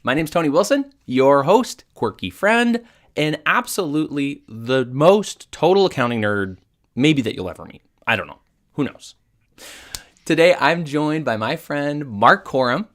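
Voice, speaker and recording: Nasal, congested male podcast host. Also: fairly high pitch. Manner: playful, self-deprecating, animated. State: recovering from illness, congested. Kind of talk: casual podcast interview. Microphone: video call.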